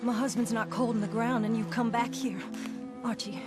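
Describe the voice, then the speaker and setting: Actress as bitter widow, fairly high voice, stern, accusing, grieving, music underneath. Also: female voice, flat delivery, normal speed, natural voice. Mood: bitter grief. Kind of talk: video game voice acting. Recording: music behind the voice.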